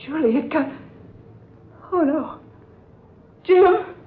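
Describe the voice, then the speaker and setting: An actress playing a distraught, headstrong young niece with earnest, dramatic delivery in an old radio melodrama. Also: female voice, high-pitched, lively intonation, takes it slowly. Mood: horrified anguish. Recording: muffled old radio recording, faint hiss.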